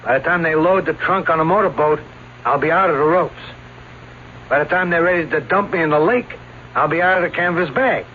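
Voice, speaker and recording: Cocky male escape-artist showman, played by an actor: high-ish pitch, slangy bragging, old radio drama, muffled noisy recording. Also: brisk, lightly acted. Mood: cocky, scheming. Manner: cocky, slangy streetwise talk. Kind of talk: vintage radio drama acting.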